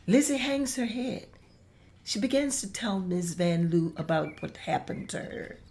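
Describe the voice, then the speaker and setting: A woman's voice: mid-range voice, expressive, a little slow, a little mellow. A woman reading stage directions as scripted narration at a table read.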